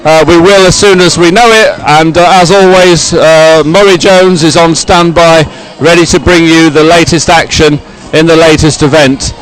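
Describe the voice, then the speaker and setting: A man presenting a live sports broadcast, ad-libbing commentary in a halting, hesitant way. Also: on the high side, steady tone, clean tone, rough recording. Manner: hesitant, halting ad-libbed delivery. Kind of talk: live unscripted event commentary.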